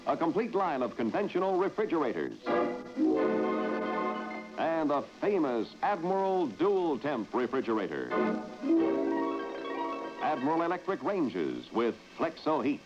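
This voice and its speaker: A man working as a sponsor announcer in a vintage broadcast style. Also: a little high. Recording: muffled vintage broadcast recording.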